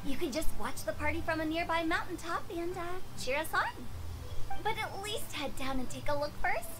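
A cheeky teenage girl from a video game. She sounds high and chirpy, coaxing the listener along. Loud background noise sits behind her. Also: animated, moderate pace.

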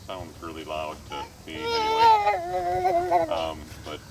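A middle-aged man speaking at his father's memorial outdoors, plainspoken and halting, with many fillers, into a handheld mic. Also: very high voice, wildly swooping pitch, creaky. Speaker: son giving a eulogy. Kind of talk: eulogy. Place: outdoors at a waterside memorial gathering. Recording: distant outdoor recording with background noise.

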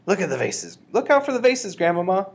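An eager, earnest young mouse boy from a storybook, played by a man reading aloud. The voice is pitched high and leaps about with exclamations, with a slightly husky grain. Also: sing-song, fast talker, full character voice. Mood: alarmed. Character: excitable young boy turned talking mouse, eager and cautious.

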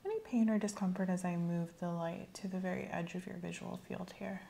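A woman speaking in a gentle, measured, clinical way, often dropping to a whisper, in a soft ASMR medical exam roleplay. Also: on the low side, creaky. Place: small indoor room set up as an exam office. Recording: faint background noise.

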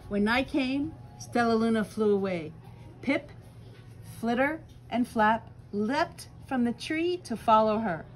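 A woman's voice: on the high side, slow pace, poor audio. A woman in her sixties reading a children's picture book aloud expressively, recorded indoors a little way from the mic. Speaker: storytime reader. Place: small bookstore, indoors. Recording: faint background noise.

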